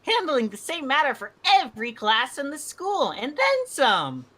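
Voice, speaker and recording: A woman's voice: high voice, wildly swooping pitch, normal speed, pushed and bright, voice pushed up, exaggerated voice. A woman playing a stern, exasperated student council girl scolding a classmate in formal visual novel dialogue. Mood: proud, scolding.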